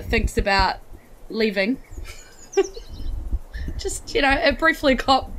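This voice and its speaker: A woman's voice: medium pitch, a bit bright, a little hiss or hum, rough recording. A woman casually improvising narration of her character as a player in a tabletop game podcast.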